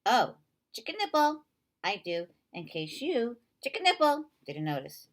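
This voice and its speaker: A woman voicing a friendly boy with verbal tics in a children's novel read aloud, breaking into abrupt shouted outbursts. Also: a little high, big pitch swings, a little slow, voice pushed up. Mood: friendly, blurting.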